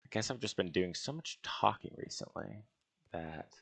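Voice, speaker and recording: A man with a gravelly voice giving casual gaming commentary as he builds, musing and hesitating as he talks to himself. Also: on the low side, animated, normal speed, a bit bright, no echo. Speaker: gaming video host. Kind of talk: casual Minecraft building commentary, thinking aloud.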